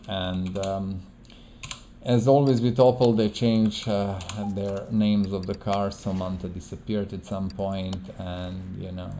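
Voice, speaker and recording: A man's voice: fairly low pitch, warm tone, a little hiss or hum. A man who is not a native English speaker, giving casual game playthrough commentary in a hesitant way, searching for words and repeating himself. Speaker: gaming video host.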